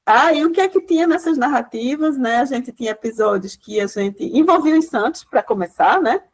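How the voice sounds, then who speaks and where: Chatty Pernambucan woman lecturing, higher voice. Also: expressive, normal speed. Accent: Pernambuco Brazilian Portuguese. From Caruaru, Pernambuco, Brazil. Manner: chatty, many "né" tags and restarts. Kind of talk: informal lecture.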